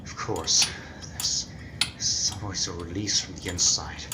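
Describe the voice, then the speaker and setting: An actor playing an arrogant, sneering ancient criminal in a theatrical 1940s-style radio drama. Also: male voice, low-pitched, a little slow. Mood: scheming. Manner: theatrical, contemptuous. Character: arrogant, sneering ancient criminal, ten thousand years old. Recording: echoey, noisy amateur home recording.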